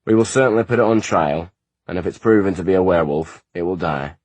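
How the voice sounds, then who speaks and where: A man's voice: sits low, fast talker, a little mellow, no room sound. A man voicing a stern, formal male monk who speaks firmly, in an audiobook. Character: stern middle-aged male monk clerk.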